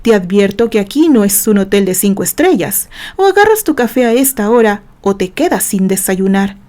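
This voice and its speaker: A contemptuous male prison guard barks orders at an inmate, as a woman narrator puts him on. He sounds gruff and scornful, in a mid-pitched storybook reading. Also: big pitch swings, moderate pace, darkish tone, natural voice.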